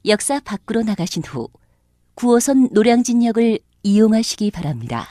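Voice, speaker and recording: A woman delivers formal public transit announcements with careful, polite enunciation. Her voice sits slightly high and moves melodically, with a soft, mellow tone. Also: very animated, moderate pace, no echo, studio quality. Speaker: subway announcer.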